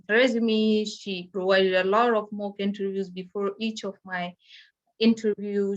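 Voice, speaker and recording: A woman from Afghanistan with an Afghan accent, telling her own story as a panelist in halting, simply phrased English. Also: medium pitch. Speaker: panelist sharing personal experience. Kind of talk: personal account on a virtual panel. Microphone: video call. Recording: dull, lo-fi online call audio.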